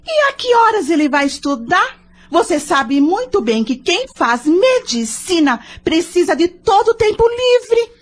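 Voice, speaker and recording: Woman playing a nagging brazilian housewife in an audio soap, high voice, swoops up and down, dramatic and scolding. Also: sing-song, measured pace, full character voice. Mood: bitter, reproachful. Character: nagging, indignant wife and mother deep in debt. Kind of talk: audio soap opera acting.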